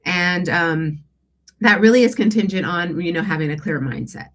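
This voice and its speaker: A woman with a low voice speaks through a congested, stuffy nose. She hosts a casual coaching session as a life and business coach. Her delivery is conversational and a little hesitant, often checking in with the people listening. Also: normal speed. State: congested from allergies. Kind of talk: casual live Q&A coaching talk.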